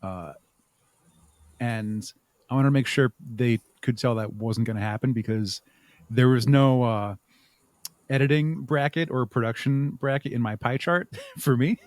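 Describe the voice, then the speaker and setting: A man talking casually and thoughtfully as an interview guest, with halting phrasing. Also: mid-range voice, no echo. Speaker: podcast guest, audio drama creator.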